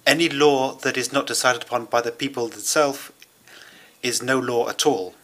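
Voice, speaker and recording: A man delivers a live English interpretation of a conference speech. His voice is bright and forward, in a middle pitch. His phrasing is measured and hesitant, with short pauses as he follows the speaker. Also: steady tone.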